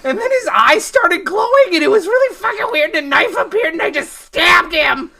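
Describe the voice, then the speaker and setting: A woman's voice: mid-range voice, steady tone, very slow, bright, forceful tone. A woman playing a flustered, indignant young city woman in a sitcom parody.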